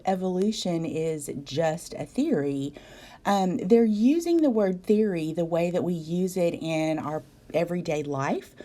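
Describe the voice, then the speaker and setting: A woman from the South, a biology instructor giving a recorded video lecture in a voice hoarse from a cold, measured and explanatory with frequent ums. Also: fairly low pitch, dark tone. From American South.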